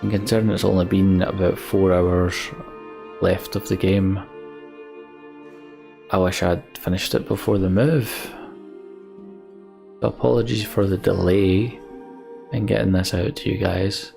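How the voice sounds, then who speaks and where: Deep, slow-talking man with gravelly voice. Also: fairly even intonation. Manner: halting, sighing, wistful. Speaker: let's play host. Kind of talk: casual game commentary, reflective. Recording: music behind the voice. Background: game soundtrack music.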